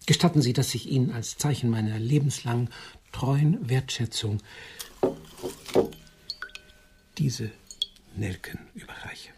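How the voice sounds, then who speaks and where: An actor playing an elderly, lonely widower in a radio drama, courting with formal, awkward, old-fashioned politeness. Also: male voice, medium pitch, moderate pace, noisy background, close to an everyday voice. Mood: solemn, tender. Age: older.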